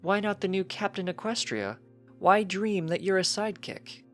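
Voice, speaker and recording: A narrator acts out a stately storybook pony princess, pitched high. She is inquisitive and deliberate, questioning formally over a music bed. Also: steady tone, fairly bright tone, close to an everyday voice. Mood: probing.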